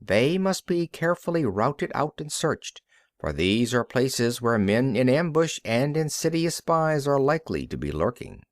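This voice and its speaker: A man reading an audiobook as scripted narration, in a measured and deliberate way. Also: medium pitch, no room sound, clean studio sound. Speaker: audiobook reader.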